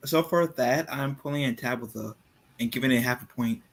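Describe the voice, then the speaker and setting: A man's voice: medium pitch, normal speed, background noise. A man on a casual film review podcast, joking and self-deprecating as he gives his score. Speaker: podcast panelist.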